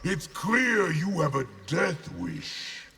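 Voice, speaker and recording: An actor playing a smug, scornful criminal villain in a video game, with a raspy, gravelly voice. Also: male voice, high-pitched, lively intonation, slow, very noisy. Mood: smug, threatening. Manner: smug, sneering. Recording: reverberant game arena hall.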